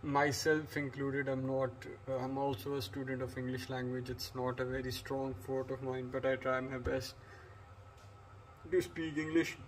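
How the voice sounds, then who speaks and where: A man's voice: medium pitch, moderate pace, slight background noise, poor audio. A man from Pakistan giving an unscripted English lesson, rambling with many fillers and restarts. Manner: flat delivery. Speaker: English teacher. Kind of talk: unscripted lecture.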